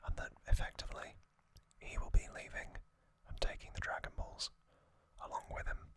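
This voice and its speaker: A man whispering an ASMR narration, telling a story gently in short, hushed phrases as the host. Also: normal speed.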